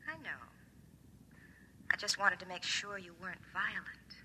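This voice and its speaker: An actress playing a tough, guarded woman fugitive, brisk and challenging in 1950s radio drama style. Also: on the low side, steady tone, bright, forceful tone, breathy edge, heavily acted. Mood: defiant, guarded. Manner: brisk, challenging, hard-boiled. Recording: faintly noisy vintage radio broadcast.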